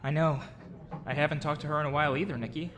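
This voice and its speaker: A man's voice: on the high side, fairly even intonation, quick pace, noisy background, natural voice. A man playing his guarded, reluctant eighteen-year-old self in an audio drama, with terse, unsure delivery. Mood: casual, friendly.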